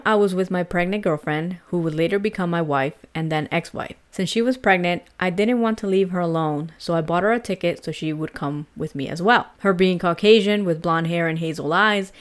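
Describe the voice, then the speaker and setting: A young woman from El Salvador tells a ghost story in a relaxed, chatty way and laughs often. Her voice sits a little low for a woman. She hosts a casual podcast and reads long passages aloud. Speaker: podcast host.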